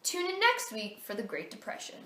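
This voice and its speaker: A woman's voice: on the high side, huge pitch swings, a little fast, distant mic. A teenage American girl delivering an amateur scripted mock newscast as a student correspondent. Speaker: student playing news anchor and correspondent. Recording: echoey room, faint noise.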